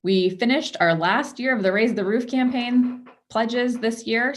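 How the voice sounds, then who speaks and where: A woman giving a prepared report as a board chair at a public meeting. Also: fairly low pitch. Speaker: outgoing chair of a market board. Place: video conference call.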